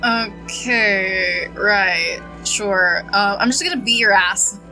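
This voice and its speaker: A woman streamer giving casual, reactive game commentary, halting and repetitive, exasperated and prone to swearing. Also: medium pitch, pushed and bright, soft background music, low-quality recording. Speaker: gaming streamer.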